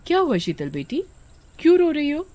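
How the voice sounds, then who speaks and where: A woman voicing a caring, easily amazed village mother with a concerned manner in an animated story. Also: sits high, normal speed, pitched above the performer's own voice. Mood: soothing.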